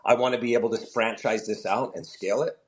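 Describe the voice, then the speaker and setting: Middle-aged comedian guy, kinda low voice, chatty, acts out little quotes. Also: steady tone, fast. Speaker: comedian and show founder. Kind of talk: casual interview chat.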